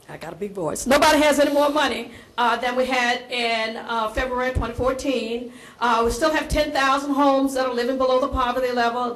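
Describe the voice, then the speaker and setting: Female resident at a hearing, forthright and emphatic, higher register, roomy sound with faint noise. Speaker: resident speaking at public hearing. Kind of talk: public testimony. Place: indoor public meeting room.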